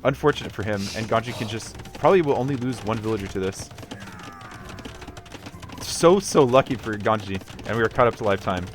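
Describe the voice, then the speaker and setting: A man's voice: fairly low pitch, brisk. A man giving casual live commentary on a video game match, analytical and tentative as he thinks aloud.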